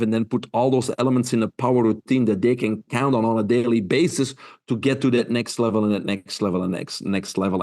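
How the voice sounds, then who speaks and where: A man's voice: mid-range voice, a little mellow. A man in his fifties from Belgium with a Belgian accent, giving earnest interview answers full of fillers and restarts. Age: 50s. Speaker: guest.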